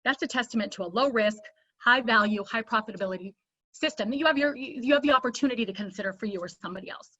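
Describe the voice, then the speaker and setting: A woman's voice: on the high side, fast, sharp tone. A woman giving a persuasive, emphatic motivational business talk over a video call. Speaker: speaker. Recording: dull lo-fi audio.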